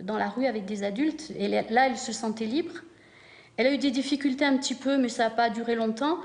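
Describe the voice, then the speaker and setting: An older woman talks in a fairly high voice, hesitant and unhurried, often pausing with fillers. She speaks casually, recalling family memories. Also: gravelly, a little mellow. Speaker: documentary subject. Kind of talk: casual conversation.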